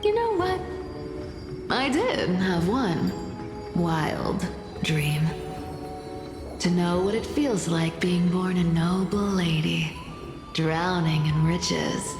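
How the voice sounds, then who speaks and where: A woman's voice: fairly low pitch, lively intonation, slow, long pauses. A woman voicing a playful, brash female thief with sly, drawling teasing in a fantasy game. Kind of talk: dubbed fantasy game dialogue. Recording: long reverb with loud music.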